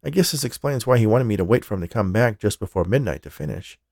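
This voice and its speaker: Man playing a puzzled young artist, raised pitch, gravelly, mellow, dry close sound. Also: animated, fast, dry recording. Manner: startled and puzzled. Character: bewildered young male artist.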